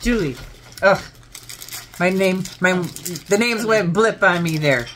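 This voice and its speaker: An older woman hosting a casual home vlog, chatty and quick to laugh. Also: fairly low pitch, lively intonation, slight background noise. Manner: chatty, laughs often, self-deprecating. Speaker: vlog host. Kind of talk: casual chat. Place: wood-paneled living room indoors.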